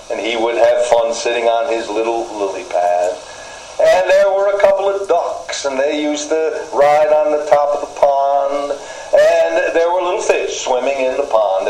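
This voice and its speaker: An older man preaching a sermon, deliberate, with folksy asides to his listeners. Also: medium pitch, a little mellow. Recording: roomy echo, faint background noise.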